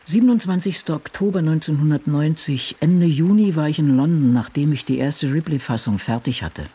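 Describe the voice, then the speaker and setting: A man playing an ageing, solitary woman crime novelist in a radio drama, musing in a deliberate, wry way. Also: on the high side, warm tone, husky. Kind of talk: literary radio drama monologue, reading diaries and drafts.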